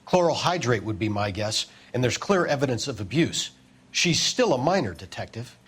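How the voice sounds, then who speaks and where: A man's voice: medium pitch, very animated, normal speed, fairly bright tone, slightly rough. An actor voicing a professional male hospital doctor who speaks matter-of-factly. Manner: matter-of-fact.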